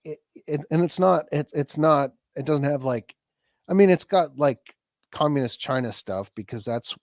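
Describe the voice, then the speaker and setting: A man co-hosting a podcast in casual chat, a bit stuffed up from a cold, talking in halting bursts with many restarts and easy laughs. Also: fairly high pitch, darkish tone, dry recording, clean studio sound. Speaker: podcast co-host.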